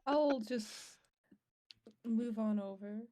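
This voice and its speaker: Soft mellow lady, kinda high voice, casual tabletop player chat, some background noise. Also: takes it slowly.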